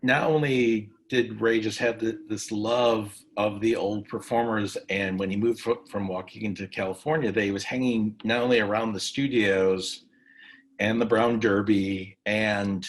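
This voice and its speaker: A man's voice: fairly low pitch, fairly even intonation, unhurried, rough recording. A man hosting a casual interview, telling anecdotes in a rambling, enthusiastic way. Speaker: interviewer, session host.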